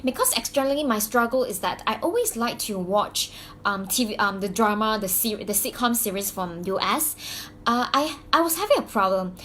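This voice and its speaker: A woman from Malaysia speaks with a Malaysian accent in a fairly high voice, her first language being Mandarin. She tells a personal story in a loose, chatty way, full of "um"s and restarts, with a faint hiss of noise behind her. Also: lively intonation, normal speed, fairly bright tone. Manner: chatty, many fillers and restarts. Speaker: vlogger and coach.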